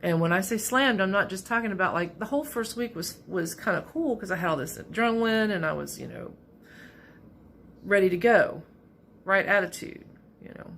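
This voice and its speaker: A middle-aged woman with a raspy voice, talking candidly and a bit ramblingly in a casual personal vlog from her office at work. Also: medium pitch, expressive, normal speed, fairly bright tone, a little hiss or hum. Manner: candid, rambling, self-deprecating. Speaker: vlogger.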